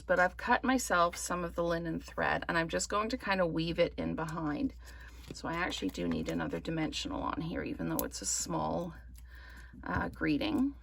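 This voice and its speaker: A woman from Canada giving a relaxed craft tutorial, her voice slightly croaky from smoke, rambling with many ums. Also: on the low side. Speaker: card-making demonstrator. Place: indoors at a craft table.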